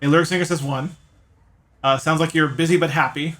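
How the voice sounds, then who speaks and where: A man's voice: a little high, fairly even intonation, brisk, a bit bright. A man hosting a livestream playthrough, chatting casually and playfully with viewers. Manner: playful, talks to chat by name. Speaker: livestream host playing a visual novel. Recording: game music behind. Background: game music.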